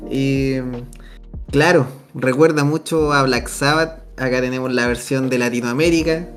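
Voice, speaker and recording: A man's voice: mid-range voice, steady tone, unhurried. A young man with a Chilean Spanish accent chatting casually about music as a podcast co-host, enthusiastic and full of slang and fillers.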